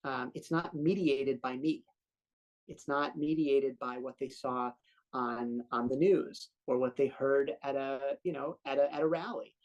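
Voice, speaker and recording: A man, a professor, answering an interview thoughtfully with pauses and restarts. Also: mid-range voice, muffled recording.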